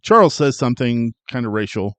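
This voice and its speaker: A man co-hosting a film review podcast, trading dry, teasing remarks with his partner. His pitch sits in an ordinary middle range. The recording is close and dry. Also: very animated, brisk, fairly bright tone, no room sound. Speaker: podcast co-host. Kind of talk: casual film review chat.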